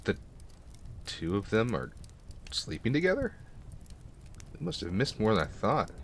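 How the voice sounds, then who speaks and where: A man's voice: fairly low pitch, wildly swooping pitch, unhurried, a bit bright, a little hiss or hum, full character voice. A man playing a hesitant, concerned companion of a hero in a fantasy audio drama. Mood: surprised. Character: worried, low-voiced male companion of a hero.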